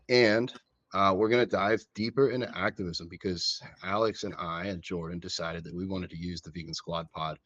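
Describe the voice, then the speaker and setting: A man with a very deep voice hosts a casual podcast interview and keeps the talk on track in an upbeat way. His audio is dull and lo-fi, with a dry, close sound. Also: a bit bright, slightly rough, no room sound. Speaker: podcast host.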